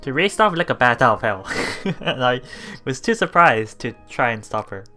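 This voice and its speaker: A woman casually reading and reacting to a visual novel as a let's play streamer, giggly, excitable and expressive. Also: very deep voice, moderate pace, soft background music.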